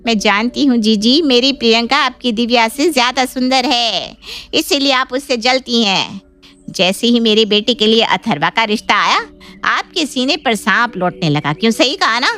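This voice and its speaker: High, sarcastic, bitter sister-in-law played by a woman. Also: lively intonation, normal speed, fairly bright tone, faint music behind. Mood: accusing. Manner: sarcastic, accusing.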